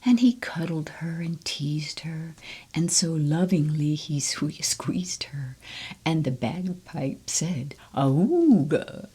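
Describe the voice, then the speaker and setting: A woman reading children's poems aloud in a soft, gentle whisper, close to the mic. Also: deep voice, slow pace, breathy edge. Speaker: storybook reader. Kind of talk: whispered ASMR poetry reading.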